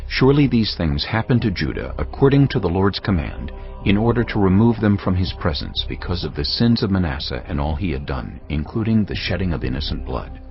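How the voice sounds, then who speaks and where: Male narrator for dramatised audio Bible: low, grave storytelling with dynamic intonation, slightly dulled sound. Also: very animated. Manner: solemn, measured storytelling. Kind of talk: dramatised scripted scripture narration. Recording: slightly dull audio under background music. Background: background music.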